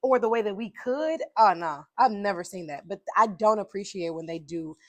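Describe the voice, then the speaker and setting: Animated woman with a dry, close sound. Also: medium pitch, normal speed, no room sound. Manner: animated, affirming, laughs often. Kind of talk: conversational podcast talk.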